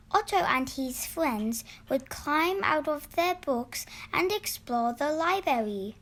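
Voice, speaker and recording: A woman narrating a children's storybook in an expressive, deliberate read-aloud style. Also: high-pitched. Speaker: storybook reader.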